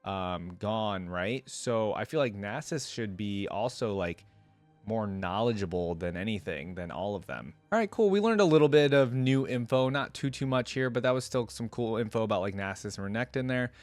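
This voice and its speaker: A man hosting a reaction video, casual and informal, reading aloud and thinking out loud with asides. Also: fairly low pitch, lively intonation, moderate pace, dry recording, faint music behind.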